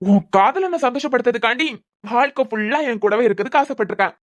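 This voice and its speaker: Woman playing a husband, young, bitter, sarcastic, fast-talking, repeats himself in anger. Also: fairly high pitch, animated, muffled recording, lightly acted. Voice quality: sarcastic, bitter, repeating words when angry. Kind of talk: amateur audio drama.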